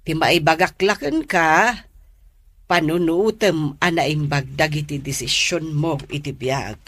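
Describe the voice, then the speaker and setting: A woman's voice: low voice, a little slow, fairly bright tone, no echo. A woman playing a worried, admonishing middle-aged mother in a radio drama. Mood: gently admonishing.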